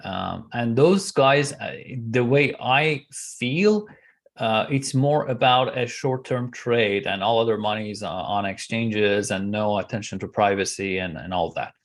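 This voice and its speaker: A man from Iran, answering questions as an interview guest in a measured, hesitant way with frequent pauses. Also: fairly low pitch.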